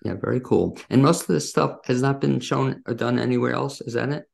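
A man with a heavily creaky, gravelly voice at an ordinary male pitch hosts a casual interview. He talks conversationally and takes a rambling route to each question. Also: fairly quick, darkish tone. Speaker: interviewer, show host.

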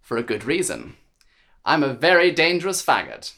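A man's voice: fairly high pitch, wildly swooping pitch, normal speed, creaky, sharp tone, full character voice. A man playing a flamboyant, catty political troll from Britain in a radio play, arch and mocking with camp endearments. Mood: catty, smug. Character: flamboyant, provocative male right-wing troll, arch and catty.

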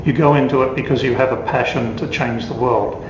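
A man's voice: medium pitch, fairly even intonation, fairly quick. An older man, a scientist guest, speaking earnestly and repeating words as he goes. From Australia. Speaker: award-winning scientist guest. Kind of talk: remote interview conversation. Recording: echoey, dull, noisy remote line.

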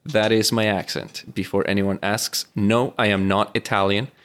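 A man's voice: fairly low pitch, little pitch movement, normal speed, a bit bright, clear, resonant tone. Male podcast co-host with a Venezuelan Spanish accent; easygoing, chatty. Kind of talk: casual chat. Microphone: remote call.

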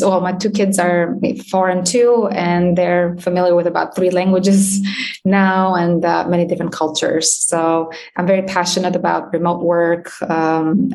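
A woman in her thirties, raised in Albania, speaking as a guest in a podcast interview, with frequent fillers and light laughs. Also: fairly low pitch. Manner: warm, many fillers, laughs while talking. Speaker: guest, startup founder. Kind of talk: interview conversation.

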